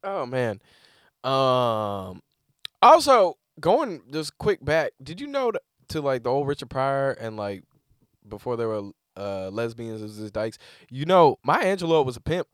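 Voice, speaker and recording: A young man jokes through casual podcast banter, laughing a lot and jumping into loud, mocking voices. His pitch swings widely as he talks. The sound is dry and close to the mic. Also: a little slow, clean tone, dry recording. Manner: animated, laughs often, jumps into loud put-on voices. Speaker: podcast co-host, comedian.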